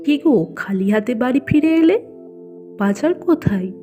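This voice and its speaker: A woman's voice: high-pitched, lively intonation, normal speed, mellow, soft background music. A woman voicing a worried poor farmer's wife and mother in a cartoon, plaintive and reproachful. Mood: angry.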